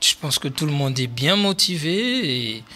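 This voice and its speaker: A man's voice: on the high side, sing-song, moderate pace, pushed and bright, a little hiss or hum. A man, co-commenting casually with hesitant, short replies. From Democratic Republic of the Congo. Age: 20s.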